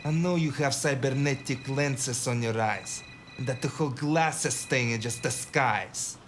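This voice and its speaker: A man playing a slow, menacing, desperate gunman. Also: mid-range voice, faint music behind, close to an everyday voice. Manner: threatening, deliberate. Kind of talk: dramatic audio drama dialogue.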